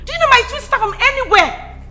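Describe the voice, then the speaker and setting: A woman playing a jealous, hot-tempered young princess who shouts and scorns. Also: extremely high pitch, sing-song, fast talker, faint music behind, exaggerated voice. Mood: demanding. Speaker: actress in a comedy skit. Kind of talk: skit acting.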